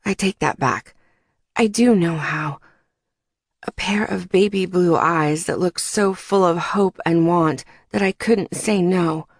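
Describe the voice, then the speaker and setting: Low-pitched female audiobook narrator. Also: dry recording, studio quality. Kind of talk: scripted first-person fiction narration.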